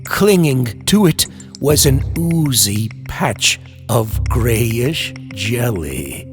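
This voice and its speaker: A man's voice: medium pitch, very animated. Ominous, dramatically swooping male horror storyteller. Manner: ominous, drawn-out, dramatic delivery. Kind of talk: theatrical scripted horror narration, old-time radio style. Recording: music under the voice.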